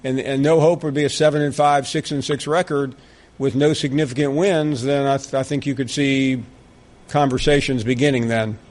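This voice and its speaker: A middle-aged man with a Southern US accent, hosting a sports talk radio show and bantering with callers. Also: mid-range voice. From American South. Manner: quick, animated, jokey banter with callers. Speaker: radio host.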